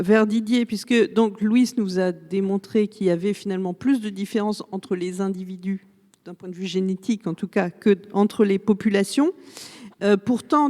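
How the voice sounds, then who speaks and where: A woman's voice: medium pitch, dark tone. A woman moderating a public debate, passing questions to the panel with a few fillers. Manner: steering questions, some fillers. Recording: reverberant hall sound.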